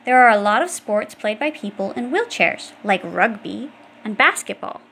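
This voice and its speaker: A woman's voice: medium pitch, animated, normal speed, vocal fry, a bit bright, a little hiss or hum. A woman reading an educational children's book aloud, explaining things directly to young listeners. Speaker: audiobook reader.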